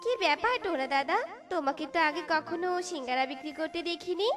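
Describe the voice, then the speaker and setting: Casting: bubbly cartoon bird lady, high and bright, voiced by a woman. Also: brisk, music in the background. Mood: delighted. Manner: chatty, delighted. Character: Beauty, cheerful female bird customer.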